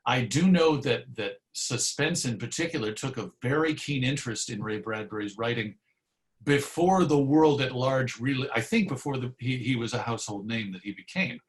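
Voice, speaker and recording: Articulate man telling anecdotes in an interview. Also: medium pitch. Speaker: interview guest, radio historian. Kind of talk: conversational interview storytelling.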